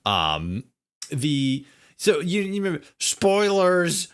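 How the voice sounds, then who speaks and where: A man in a casual podcast conversation, speaking haltingly as he searches for words and repeats himself. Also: on the high side, very animated, clear, resonant tone. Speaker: podcast guest, audio drama writer. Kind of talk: casual chat.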